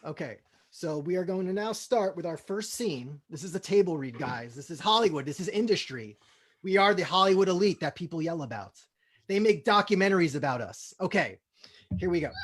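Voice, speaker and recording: A man hosting a comedy table read, talking casually and reading out stage directions in deadpan style. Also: a little high, fairly quick.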